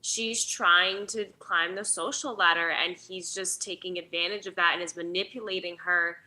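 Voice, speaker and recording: Female panelist with a forward, bright tone, conversational and informal. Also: lowish voice, steady tone, moderate pace, low-quality recording. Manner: informal, says "like" often. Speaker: book discussion panelist. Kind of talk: casual group discussion.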